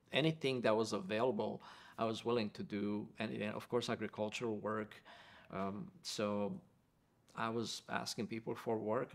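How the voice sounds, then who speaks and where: Earnest Romanian-accented man, low voice. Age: middle-aged. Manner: earnest and reflective, with frequent repeated words. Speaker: guest, pastor and professor. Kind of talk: storytelling interview.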